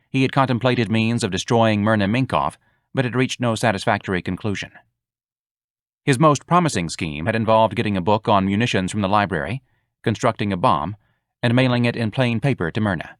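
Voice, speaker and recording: A man's voice: on the low side, no echo. Man narrating an audiobook, dry studio.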